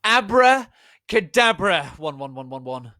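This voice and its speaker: A man voicing a melodramatic, hot-tempered gothic teenage girl with shrill, over-dramatic exclamations in a story reading. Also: sing-song, measured pace, pushed and bright, no room sound, studio quality, exaggerated voice. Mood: hysterical.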